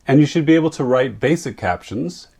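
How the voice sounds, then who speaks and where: Man calmly explaining a lesson. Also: mid-range voice. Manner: clear, measured explaining. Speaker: photographer and presenter. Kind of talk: scripted lesson narration.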